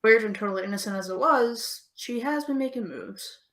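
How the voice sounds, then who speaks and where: A woman's voice: a little high, a little fast. Woman acting sardonic young American woman, Eve. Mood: teasing, intimate. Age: young adult. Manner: dry, teasing, deadpan. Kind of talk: audio drama dialogue.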